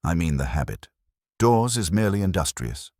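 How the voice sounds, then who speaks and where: Guy doing a pompous old detective for a mystery audiobook, super deep voice, formal, lots of rise and fall. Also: very animated, moderate pace, a little mellow, dry recording, clean studio sound. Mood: lofty, disapproving. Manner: formal, epigrammatic, pronouncing judgments. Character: ponderous, haughty detective genius, a pedantic older man.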